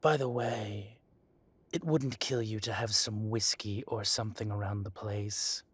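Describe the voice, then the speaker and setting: A man acts out an arrogant dragon crime boss with a low voice and very slow, deliberate delivery. He sounds civil on the surface and threatening underneath, like a villain in an audio drama, recorded close and dry. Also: fairly even intonation, breathy edge, no echo. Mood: smug, casual. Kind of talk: scripted roleplay audio drama monologue.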